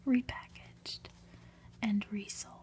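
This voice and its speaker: A woman whispering softly and breathily in an ASMR style, gentle and unhurried, as a reader and host. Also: mid-range voice, fairly even intonation. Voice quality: breathy, mostly unvoiced whisper. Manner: soft, unhurried, gentle delivery. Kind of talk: whispered ASMR, storybook reading and soft chat.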